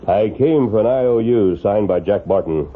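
An actor playing a calm, bold masked western lawman with a firm, clipped, authoritative delivery in a vintage radio drama. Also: on the low side, moderate pace, lightly acted. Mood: stern, commanding. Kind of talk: 1940s radio western acting. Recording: muffled, noisy old radio recording.

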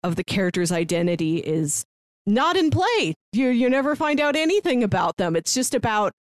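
A woman co-hosting a podcast over a remote call, chatting casually and excitedly, with lots of "like" as she talks. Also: medium pitch, normal speed, no echo, clean studio sound. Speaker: podcast co-host. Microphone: remote call mic.

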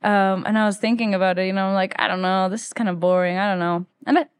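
A woman's voice: medium pitch, fairly even intonation, fast, clean tone, dry recording, clean studio sound. Chatty young woman from Ecuador. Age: late 20s to 30s. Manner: informal, peppers speech with "like". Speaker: musician, interview guest. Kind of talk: casual conversational storytelling.

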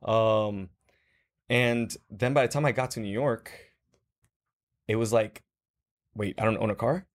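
A man's voice: lowish voice, unhurried, pauses often. A young man from Guatemala talking casually in a group conversation, reflective, with many fillers and restarts.

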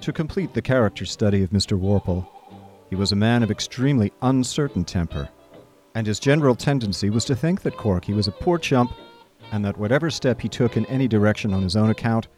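A man playing a genial, idle young gentleman who narrates his own story in a radio comedy. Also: fairly low pitch, expressive, a little fast, faint music behind, lightly acted. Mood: wry storytelling. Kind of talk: scripted first-person narration in a radio comedy.

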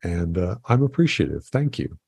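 Low-voiced relaxed podcast host, dry. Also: male voice, normal speed, creaky, slightly rough, no room sound. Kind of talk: casual interview chat.

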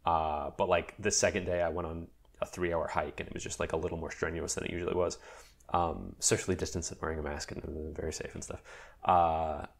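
A young man with a very deep, gravelly voice chats in a rambling way, full of fillers and restarts. He sounds lively and is getting over a mild cold. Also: a little fast. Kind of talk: casual chat.

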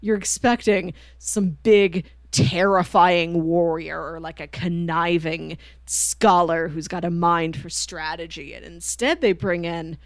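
A woman's voice: on the low side, fairly even intonation, measured pace, few pauses, dry recording. A woman co-hosting a podcast, retelling stories in casual chat and often chuckling through her sentences. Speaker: podcast co-host. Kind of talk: casual chat reviewing manga.

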